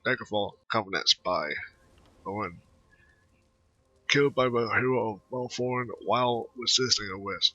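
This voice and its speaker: A man doing casual game commentary and reading text aloud, haltingly, stumbling over words. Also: on the low side, sharp tone. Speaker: gamer narrating a playthrough. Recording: slightly dull, lo-fi microphone audio.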